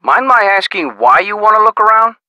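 An actor playing an easygoing, skeptical small-town sheriff in a video game, with a folksy, casual manner. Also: male voice, high-pitched, lively intonation, sharp tone, dry recording, muffled recording, full character voice. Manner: folksy, casual, drawn-out reactions. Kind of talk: video game character dialogue.

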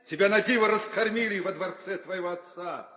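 A man's voice: sits high, a little fast, pushed and bright. An actor playing a mocking, haughty warrior suitor, declaiming his taunts in a 1960s theatrical audio drama. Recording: echoey hall reverb.

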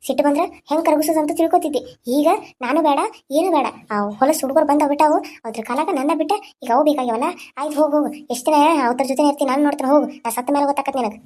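A woman's voice: high-pitched, rapid-fire, hardly any pauses, mellow, a little hiss or hum, close to an everyday voice. A woman voicing a harsh, scornful aunt who nags bitterly, with a North Karnataka Kannada accent. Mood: bitter scolding. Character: harsh scolding middle-aged aunt. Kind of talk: village family drama acting.

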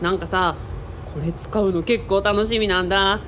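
A woman playing a boyish, excitable young man in a radio drama, speaking casually and exclaiming often. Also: mid-range voice, moderate pace, slight background noise, close to an everyday voice. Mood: excited, playful. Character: boyish, excitable young male sidekick, Kaoru.

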